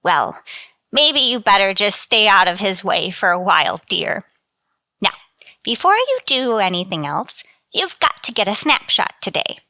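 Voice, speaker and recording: A woman's voice: mid-range voice, sing-song, a little slow, sharp tone, dry recording, exaggerated voice. An actress playing a chirpy, scatterbrained, motherly landlady in a scripted radio comedy, in a sing-song, absent-minded manner. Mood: earnest, scatterbrained. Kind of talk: scripted radio sitcom acting.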